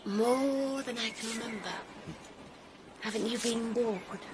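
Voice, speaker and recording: An actress playing an honest, spirited young woman in a radio drama, breathy and unhurried. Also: a little high, background noise. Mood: tender. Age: young adult. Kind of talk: radio drama acting, partly narrating.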